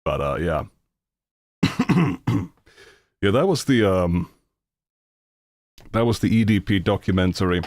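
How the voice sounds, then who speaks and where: A man's voice: low voice, lively intonation, darkish tone, no room sound. A man from Finland with a Finnish accent, speaking English as a second language and giving a blunt, rambling reaction commentary full of fillers. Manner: rambling, halting with many fillers, blunt and profane. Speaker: streamer reacting to videos.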